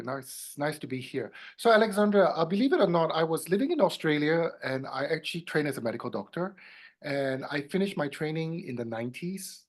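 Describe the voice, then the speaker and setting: A man in his fifties who grew up in Singapore, telling his story as an interview guest. His voice swings up in pitch and volume at each new turn, then sinks low and soft at the ends of sentences. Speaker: guest, feng shui consultant.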